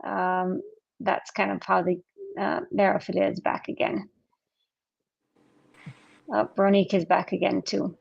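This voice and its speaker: A woman hosting a group session over a video call, gently coaxing participants with questions. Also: mid-range voice, little pitch movement, measured pace, creaky, long pauses, husky, dry recording, lo-fi sound. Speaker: host guiding a group session.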